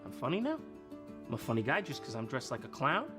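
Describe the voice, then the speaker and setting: An actor playing an indignant, touchy clown, sarcastic and defensive. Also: male voice, mid-range voice, huge pitch swings, fast talker. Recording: loud music behind the voice.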